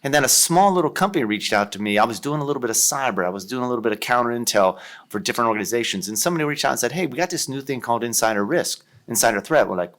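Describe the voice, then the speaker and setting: A man's voice: mid-range voice, lively intonation, very fast, fairly bright tone. A man in his fifties with an Ohio accent, chatting as a podcast guest in a jokey, rambling way. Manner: jokey, rambling, leans on "kind of". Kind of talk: casual interview chat.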